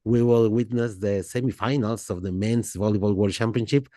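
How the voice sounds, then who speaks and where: Guy hosting a podcast, halting and fillery, mellow dark voice, dry close sound. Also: on the low side, fairly even intonation, no echo. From Mexico. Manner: halting, many fillers and restarts. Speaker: podcast host. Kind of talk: casual interview chat.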